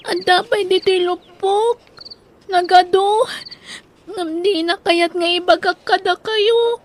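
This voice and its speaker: A woman's voice: extremely high pitch, steady tone, takes it slowly, a little hiss or hum. A woman playing a timid, hurting little girl in an audio drama, with a plaintive, childlike manner and a Filipino accent. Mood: hurt, fearful.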